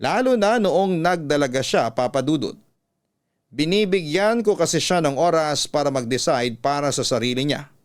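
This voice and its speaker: A man with a Filipino accent reading a listener's letter as a scripted, measured and earnest radio story. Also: fairly high pitch, lively intonation.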